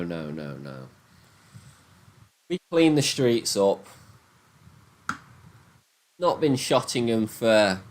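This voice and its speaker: Lively ranting man with a Nottingham accent. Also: fairly low pitch. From Nottingham, England. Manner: animated, swearing, ranting. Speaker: livestreamer chatting with viewers. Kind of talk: casual live-stream chat.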